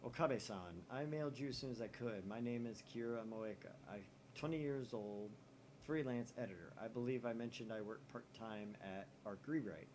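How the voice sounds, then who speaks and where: A man voicing a shy twenty-year-old woman whose email he reads out in a soft, hesitant, apologetic way. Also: mid-range voice, normal speed, a little hiss or hum, natural voice, low-quality recording. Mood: pleading.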